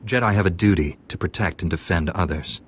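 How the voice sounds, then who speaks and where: An actor playing an earnest, steadfast Jedi apprentice in a video game, composed and formal. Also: male voice, deep voice, brisk, slight background noise, close to an everyday voice. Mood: earnest resolve. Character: earnest, steadfast young Jedi Padawan hero.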